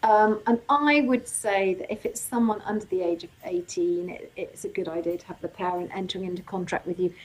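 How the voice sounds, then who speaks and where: A woman hosting a live legal webinar, reading out audience questions and answering them informally, her voice getting croaky. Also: on the low side, fairly even intonation, fairly quick, hardly any pauses, poor audio. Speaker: legal workshop host.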